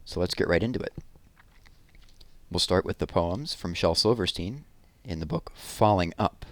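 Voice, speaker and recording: Male children's read-aloud host, lower register, expressive and deliberate, conversational asides, dry close sound. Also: no room sound. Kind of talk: storytelling read-aloud of poems and picture books.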